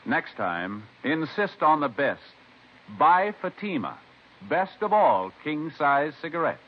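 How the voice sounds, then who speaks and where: A man's voice: a little high, very animated, slow, a little hiss or hum. A man announcing a scripted 1950s radio commercial with punchy, emphatic salesmanship. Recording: muffled vintage radio recording.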